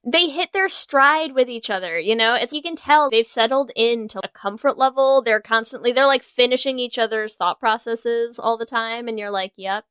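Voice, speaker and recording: A woman co-hosting a podcast in casual, animated and wry conversation. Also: a little high, normal speed, hardly any pauses, clean tone, no room sound, studio quality. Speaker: podcast co-host. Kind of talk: casual chat.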